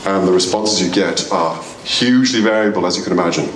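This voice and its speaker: A man hosts a Q and A in a school auditorium. He speaks in a polite, measured way with a lowish voice. He sounds set back from the mic, and the hall echoes around him with a faint hum of room noise. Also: far from the mic. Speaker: moderator. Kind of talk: hosting a stage Q and A.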